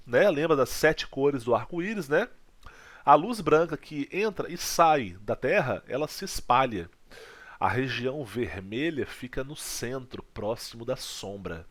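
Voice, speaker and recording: Lively Brazilian physics teacher. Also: male voice, on the high side. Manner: lively teacher, checks in with "legal?" and "tá?". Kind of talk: video lesson.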